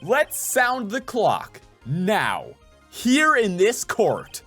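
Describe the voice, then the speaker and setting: A man voicing an earnest, excitable young male defense attorney in a video game dub, with emphatic theatrical delivery. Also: high-pitched, very slow, faint music behind, voice pushed up, heavily acted. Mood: confident, pressing.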